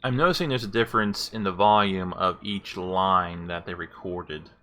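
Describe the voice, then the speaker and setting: A man casually demonstrates voice commands for a game. His voice is fairly low in pitch, with a bright, forceful edge. He speaks in deliberate command phrases and relaxed asides. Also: a little hiss or hum. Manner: deliberate, repeated command phrases between offhand remarks. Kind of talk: casual gameplay demo with spoken commands.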